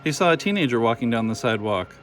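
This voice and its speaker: A man narrating a children's storybook in an expressive read-aloud style. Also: medium pitch, fast, soft background music. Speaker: storybook reader.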